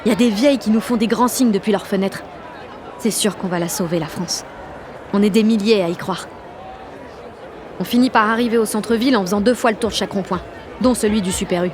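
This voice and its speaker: A woman's voice: mid-range voice, fairly quick, pauses often, crowd noise, background noise, lightly acted. A woman playing a cocky, exalted sixteen-year-old girl activist, narrating an audio drama in brash, confiding slang. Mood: euphoric. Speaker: lead actor and narrator.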